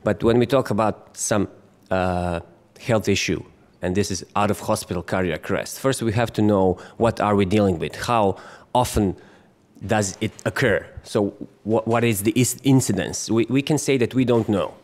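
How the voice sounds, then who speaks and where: A man's voice: lowish voice, moderate pace, a little mellow. A middle-aged man with a Croatian accent, giving a conference lecture and talking in a hesitant way with many fillers and restarts. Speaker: physician lecturer. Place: conference hall.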